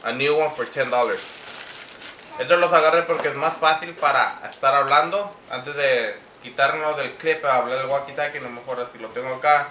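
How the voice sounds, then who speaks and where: A man with a bright, sharp-edged voice talks casually to viewers as he reviews products. His delivery is hesitant and halting, and he moves between two languages. The room is echoey, with a faint layer of noise behind him. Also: mid-range voice. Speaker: vlogger.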